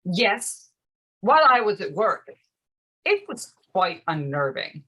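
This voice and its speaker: An actress plays an exasperated mother of a teenager in a naturalistic play reading. She speaks slowly and brightly, with a blunt, sardonic edge and skeptical, clipped phrasing. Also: medium pitch, very animated, breathy edge, full character voice. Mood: dry, unsettled. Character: exasperated, sardonic parent of a teenager, newly dating. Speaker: actor.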